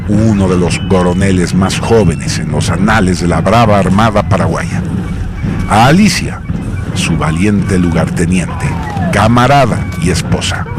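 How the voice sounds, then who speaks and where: Deep-voiced solemn male narrator. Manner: solemn, grandiose, measured phrasing. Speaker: radio drama narrator. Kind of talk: scripted dramatic narration. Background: music.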